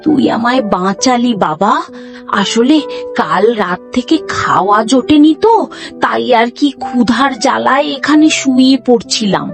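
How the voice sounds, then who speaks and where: A woman's voice: on the high side, lively intonation, vocal fry, soft background music. A woman voicing a muddled old beggar woman in a folk tale, stammering and repeating herself. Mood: grateful. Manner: stammering, halting, repetitive. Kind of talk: acted storytelling for a folk tale.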